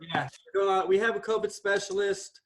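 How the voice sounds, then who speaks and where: A man's voice: sits high, steady tone, normal speed, fairly bright tone. A man greeting a film cast on a video call in an upbeat, welcoming, casual way.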